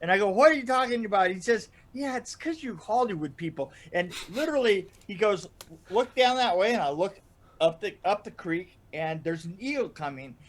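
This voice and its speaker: An older man telling anecdotes casually in a rambling, halting way, chuckling as he goes. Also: high voice, lively intonation. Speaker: podcast storyteller guest. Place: indoor room on a video call.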